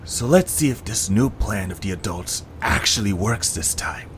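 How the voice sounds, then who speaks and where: A man's voice: on the low side, expressive, normal speed, slight background noise, natural voice. A man voicing an eager young cartoon creature with an urgent, conspiratorial manner, in an audio story. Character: eager young male cartoon creature passing on secret plans.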